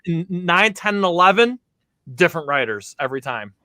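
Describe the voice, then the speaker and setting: A man's voice: fairly high pitch, big pitch swings, a little fast, pushed and bright, no room sound, studio quality. A man on a podcast panel, explaining and giving opinions in casual fan discussion.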